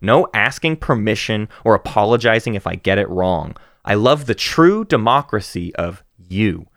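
Animated man on a podcast, dry close mic. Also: on the low side, no echo. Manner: animated, rising to excited emphasis, halting restarts. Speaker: podcast co-host. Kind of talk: casual book-club chat and poetry reading.

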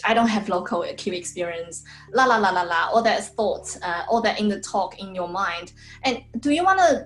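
A woman's voice: medium pitch, a little hiss or hum, poor audio. A woman who is a life coach hosts an online interview over a video call, speaking encouragingly with chatty "you know" asides.

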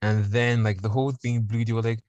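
A man's voice: medium pitch, brisk, no room sound, highs cut off. A young man from Nigeria with a Nigerian accent, telling a story in a casual podcast chat and acting out the voices of others. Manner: animated, acts out voices in quotes. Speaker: podcast guest.

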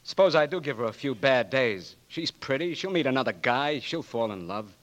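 A man's voice: mid-range voice, expressive, normal speed, lightly acted. An actor playing a young marine who has just lost his sight, pleading with his doctor and then bitterly refusing help, in a 1940s radio drama. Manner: pleading, breaks into anguished shouting. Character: young man, newly blinded marine, desperate and bitter. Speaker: wounded marine patient. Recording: dry old radio broadcast.

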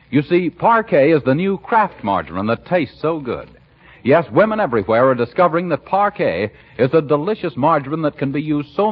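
An American man announces in 1940s radio style. His delivery is polished and sales-minded, with lively swings of pitch. The voice has a husky edge and comes through a dull, aged recording.

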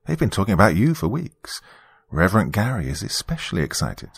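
A man's voice: low voice, big pitch swings, a little fast, vocal fry, breathy edge, no room sound, full character voice. A man voicing a young British woman in an audio story, a playful, gracious hostess with a genteel, teasing way of talking. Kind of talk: audio story character dialogue.

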